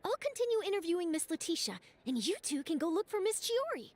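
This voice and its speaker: An eager young reporter, high and bright. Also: female voice, big pitch swings, fast talker. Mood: earnest. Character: eager young female journalist. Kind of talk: video game voice acting. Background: game music.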